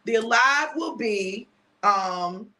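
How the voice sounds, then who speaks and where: A woman in her fifties, chatty and repetitive, mixing a prophetic word with a casual sales pitch and often asking "right?". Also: animated, takes it slowly, pushed and bright, rough recording. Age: 50s. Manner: chatty, repeats phrases, asks "right?". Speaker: preacher selling a product.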